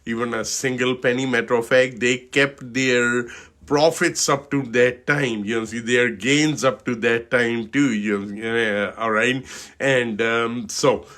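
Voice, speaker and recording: Indian-accented male financial advisor, middle-aged, hesitant webinar delivery with constant fillers, mid-pitched voice. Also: steady tone, a bit bright. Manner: halting, many fillers and "you know, okay". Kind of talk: webinar presentation.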